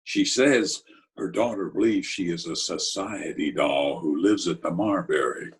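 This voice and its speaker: A man playing a laconic, scheming Broadway tough guy in an old-time radio drama, with a husky voice and terse, deliberate delivery. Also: low voice, full character voice. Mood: musing, scheming. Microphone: video call recording.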